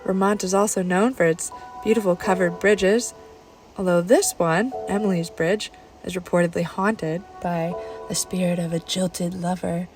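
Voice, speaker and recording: A woman's voice: on the low side, fairly even intonation, normal speed, music in the background, poor audio. A woman talking casually as the host of a travel vlog.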